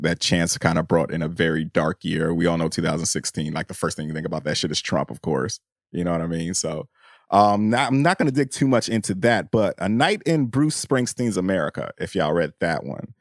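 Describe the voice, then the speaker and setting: A man's voice: deep voice, dry recording, clean studio sound. A man co-hosting a podcast, talking through and reading from a book in an informal, slangy way. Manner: informal, peppered with slang and "you know". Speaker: podcast co-host. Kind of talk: casual book discussion and reading passages aloud.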